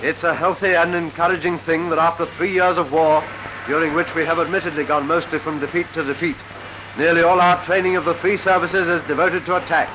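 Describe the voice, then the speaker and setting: A man's voice: on the high side, flat delivery, fairly quick, low-quality recording. A British man reading scripted wartime newsreel commentary in a rousing, declamatory manner, on a vintage 1940s film soundtrack. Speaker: newsreel narrator. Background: loud newsreel music.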